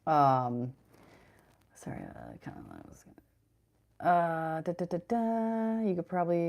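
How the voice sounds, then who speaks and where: Deep-voiced young woman, casual fitness streamer, loose and talkative. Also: darkish tone. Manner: chatty, rambling, reads chat comments aloud. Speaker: fitness livestream host. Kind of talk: casual livestream chat.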